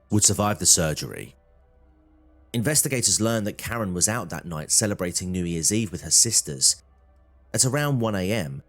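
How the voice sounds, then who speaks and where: Man, very low voice, true crime narrator, scripted documentary delivery.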